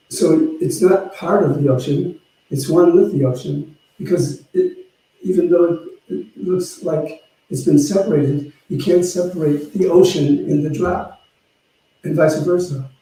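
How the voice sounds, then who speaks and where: Male minister, very soft dark tone, reflective halting teaching, picked up from afar with heavy echo. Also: on the high side. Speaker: spiritual center minister. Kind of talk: informal spiritual teaching talk. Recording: very echoey room, distant microphone.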